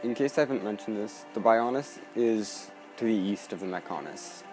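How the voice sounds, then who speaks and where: A man narrates his playthrough in a casual, conversational way. His voice is fairly low, with faint game music underneath. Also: normal speed, poor audio. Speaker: let's play commentator. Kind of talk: casual gameplay commentary.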